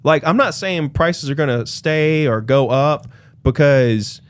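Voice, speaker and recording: A man's voice: a little high, measured pace, clear, resonant tone, no room sound. A man co-hosting a casual podcast chat, talking loosely with lots of fillers and restarts. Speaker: podcast co-host, real estate agent.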